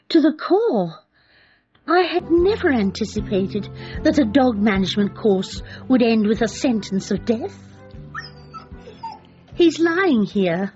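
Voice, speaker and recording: Meek female dog lover, high voice, flustered, hesitant, pleading, swooping intonation, radio comedy drama, dull recording. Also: darkish tone, heavily acted. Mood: shocked, wistful. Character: timid, flustered, soft-hearted woman devoted to her dog.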